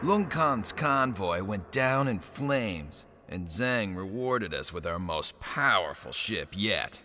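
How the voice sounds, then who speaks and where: A man's voice: fairly low pitch, lively intonation, takes it slowly, bright, forceful tone, full character voice. A man playing a hot-headed, sardonic commander and former pirate in a video game, with a gritty, terse delivery. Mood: brooding. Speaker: game protagonist and narrator. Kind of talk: dramatic game cutscene acting with brooding narration.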